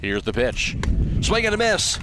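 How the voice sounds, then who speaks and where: An American man does radio play-by-play at a ballgame. His voice sits a little high for a man, and he calls the action in short, clipped bursts. There is clear outdoor noise behind him. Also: sing-song, measured pace. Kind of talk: live baseball play-by-play commentary. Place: outdoors at a baseball field. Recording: noisy outdoor live radio broadcast.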